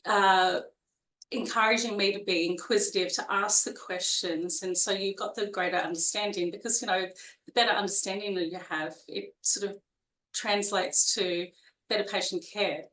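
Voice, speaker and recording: A woman in her forties with a broad Australian accent talks through her career in an easy, rambling way. She fills her pauses and restarts her sentences often, and her voice carries a noticeable room echo. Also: mid-range voice, fairly even intonation, fairly bright tone. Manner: chatty, with frequent fillers and restarts. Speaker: guest perioperative nurse. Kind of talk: casual interview conversation.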